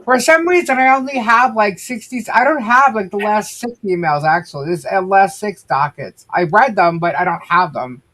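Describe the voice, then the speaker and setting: A woman's voice: mid-range voice, moderate pace, few pauses, fairly bright tone. Chatty New York woman co-hosting. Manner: chatty, breaks off mid-sentence to ask for files. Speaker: livestream co-host. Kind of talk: casual legal commentary livestream.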